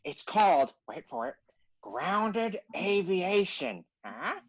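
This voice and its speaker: Stuttering bird boss, played by a woman: overeager schemer, highly animated pitch, cartoon comedy, muffled audio. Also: slow pace, breathy edge, exaggerated voice. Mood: eager. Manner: stutters on b words. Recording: dull, muffled online-call audio.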